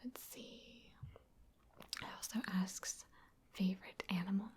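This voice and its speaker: A woman from Wisconsin, an ASMR creator, answering viewer questions in a soft, chatty, self-deprecating whisper. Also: mid-range voice, steady tone, takes it slowly. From Wisconsin, USA.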